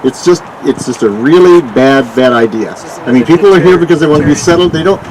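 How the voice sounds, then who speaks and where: A man's voice: on the high side, expressive, fast, distant mic, low-quality recording. A man at an outdoor community meeting, arguing his point with indignation. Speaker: resident. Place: outdoors by a roadside. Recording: loud outdoor wind noise.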